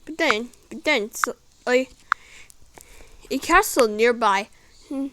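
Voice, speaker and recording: Female improviser, slow and hesitant, repetitive rambling narration, slightly high pitch, faintly noisy recording with clicks. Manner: halting, repetitive, searching for words.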